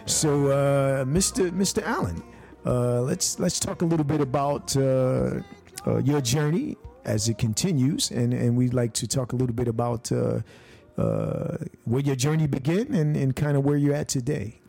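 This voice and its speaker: A man from the United States hosting a podcast interview, halting and jokey, stumbling through many restarts and fillers. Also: medium pitch, expressive, gravelly, warm tone, soft background music. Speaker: podcast host. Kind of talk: casual interview.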